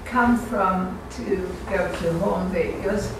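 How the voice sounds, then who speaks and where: Female audience questioner, casual delivery, distant in a strongly reverberant room with clear noise. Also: lowish voice, takes it slowly. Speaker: audience member asking questions. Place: small hall, away from the mic. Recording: distant, very echoey, noisy room.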